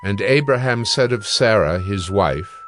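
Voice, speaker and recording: A man narrating scripture from a script in a measured, solemn manner. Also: fairly low pitch, lively intonation, fairly bright tone, slight background noise.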